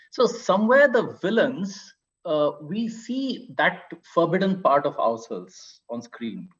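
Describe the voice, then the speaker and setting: Slightly husky, higher-pitched Indian-accented man. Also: takes it slowly, muffled recording. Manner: animated explaining with rhetorical questions. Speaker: panelist and author. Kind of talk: literary panel discussion.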